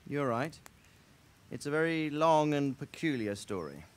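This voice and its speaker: An actor playing a stately middle-aged medieval lord who speaks in a measured, noble way. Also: male voice, fairly high pitch, big pitch swings, slight background noise.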